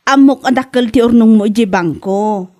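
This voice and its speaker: A woman's voice: fairly high pitch, normal speed, mellow, lightly acted. A woman with an Ilocano accent playing a blunt, skeptical older sister who nags, in a comedy audio drama. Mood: blunt, teasing.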